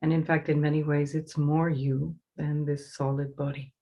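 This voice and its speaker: A woman leading a guided meditation as a teacher, giving slow, soothing instructions with pauses. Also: low-pitched, flat delivery, mellow, highs cut off.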